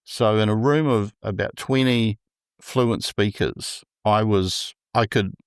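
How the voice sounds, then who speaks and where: A man with a New Zealand accent who speaks slowly and deliberately, with a stutter, in a relaxed interview as a podcast guest. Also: on the low side, clean tone, no echo, clean studio sound. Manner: slow, deliberate speech with a stutter. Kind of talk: casual interview conversation.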